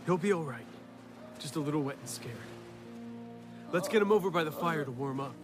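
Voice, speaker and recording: A man voicing a hearty, reassuring grown-up in an animated cartoon. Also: fairly high pitch, fairly quick, music in the background, natural voice.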